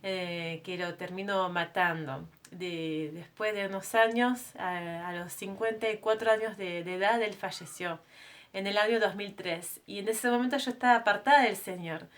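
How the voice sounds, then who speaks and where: A woman's voice: mid-range voice, normal speed. Earnest French woman sharing her testimony. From France. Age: 30s. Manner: earnest, conversational, many hesitations and self-corrections. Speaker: missionary giving her testimony. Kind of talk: personal testimony to a women's group.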